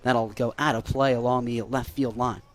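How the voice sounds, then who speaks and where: A young American man doing live radio baseball play-by-play, lifting into excited calls on big plays. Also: medium pitch, moderate pace. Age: young adult.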